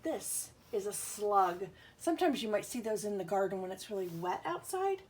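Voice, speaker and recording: A woman's voice: medium pitch, big pitch swings, moderate pace, a little mellow. A woman teacher reading a picture book aloud, explaining things simply and putting questions to young children. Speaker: schoolteacher reading aloud to pupils.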